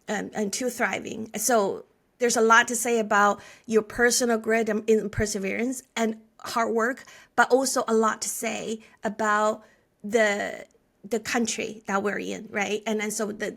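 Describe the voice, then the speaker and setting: Woman, Chinese accent, forties, interview guest, earnest storytelling, frequent restarts, middle pitch. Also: vocal fry, a bit bright. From China. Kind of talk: conversational interview.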